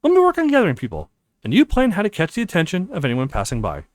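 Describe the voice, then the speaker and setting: A man's voice: high-pitched, wildly swooping pitch, fast talker, dry recording, pitched above the performer's own voice. A man voicing an earnest, reassuring young male college student in a visual novel, coaxing and confident.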